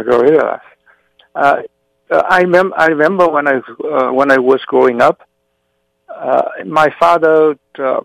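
A middle-aged man from South Vietnam, a native Vietnamese speaker, telling his life story as an interview guest, pausing often with "uh". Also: medium pitch, creaky, no room sound, low-quality recording. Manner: frequent "uh" fillers, halting.

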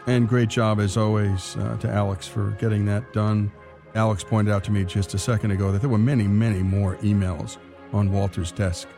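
A man's voice: on the low side, level tone, normal speed, vocal fry. Male radio storytelling host over music. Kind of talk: storytelling radio hosting, scripted narration.

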